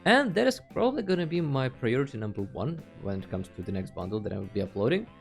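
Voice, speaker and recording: A man's voice: medium pitch, very animated, fast, creaky, faint music behind. A man hosting a casual video tutorial, talking through each step in a playful, dryly joking way. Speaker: host of a video game tutorial channel. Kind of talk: casual tutorial screen narration.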